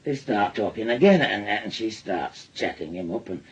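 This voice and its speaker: An actor playing a bitter, weary, ailing working-class father with a Northern English accent and a husky, halting delivery in a radio drama. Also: fairly low pitch, lively intonation, low-quality recording. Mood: brooding, halting. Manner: halting, repeating himself. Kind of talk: naturalistic British radio drama acting.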